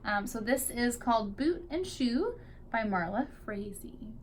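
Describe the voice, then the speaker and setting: A woman's voice: fairly high pitch, animated, measured pace, a little hiss or hum. A woman teacher reading a picture book aloud expressively to young children, drawing out key words for emphasis.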